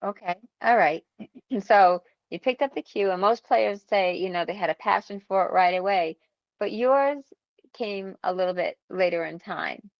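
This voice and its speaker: A woman's voice: mid-range voice, normal speed, vocal fry, dry recording, lo-fi sound. A woman who hosts the interview, asks questions and restates the guest's answers back to her. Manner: prompting, rephrases the guest's answers. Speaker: interviewer, host.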